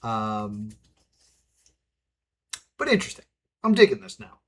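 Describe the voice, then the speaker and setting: A man's voice: lowish voice, very animated, a little slow, a bit bright. A man hosting a let's play with casual, rambling reaction commentary and wry asides.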